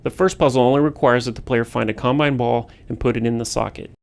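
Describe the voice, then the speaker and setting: A man's voice: mid-range voice, steady tone, fast, creaky. A man who develops games, reading scripted commentary on level design. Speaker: game developer.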